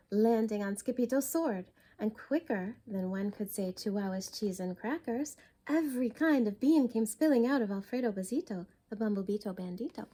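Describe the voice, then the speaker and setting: A woman's voice: mid-range voice, warm tone. A woman narrating a children's storybook expressively, with a dramatic, emphatic delivery, as a story time reader.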